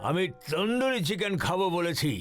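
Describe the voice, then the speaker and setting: A man's voice: high-pitched, expressive, normal speed. A man voicing a demanding, impatient male restaurant customer in a cartoon, curt and commanding. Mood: annoyed. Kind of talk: animated cartoon dubbing. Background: loud music.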